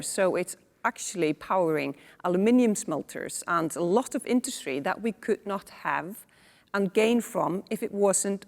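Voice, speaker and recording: A woman in her forties from Iceland, moderating a panel with relaxed conversational asides and fillers, on a lapel mic in a studio. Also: mid-range voice, long reverb tail. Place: indoor studio set.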